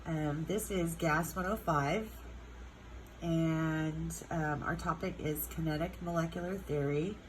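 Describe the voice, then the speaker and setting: A congested woman with a low voice and a stuffed-up nose walks through a chemistry lesson. She talks slowly, stretching words and filling pauses with ums. The recording carries a steady noisy hiss behind her. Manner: unhurried, many ums, drawn-out words. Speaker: chemistry teacher.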